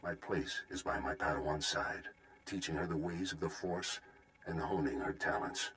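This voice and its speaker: A man playing a proud, protective Jedi Master in a video game, speaking in a measured, formal, defiant way. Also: extremely low pitch, fairly even intonation, fairly bright tone. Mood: stern resolve.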